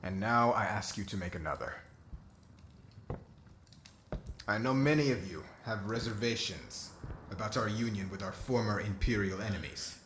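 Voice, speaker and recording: Man acting as a diplomatic king, low voice, formal and measured oratory, solemn, ASMR fantasy roleplay, noticeable background noise. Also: a bit bright, natural voice. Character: solemn diplomatic young king addressing subjects and friend.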